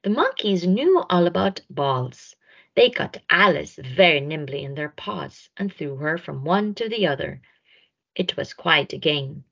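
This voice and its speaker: A woman reads a children's story at a slow pace with very lively intonation. She leans on words for young listeners, and her lowish voice has a husky edge. The recording is dull and lo-fi. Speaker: storyteller reading a picture book. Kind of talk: expressive children's read-aloud narration.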